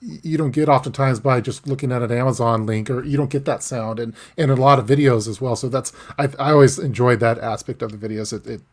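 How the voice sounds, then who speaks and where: A mid-pitched man hosts a casual live chat with a guest. He talks haltingly, restarting his phrases and slipping in small laughs. The mic sits close to him. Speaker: host.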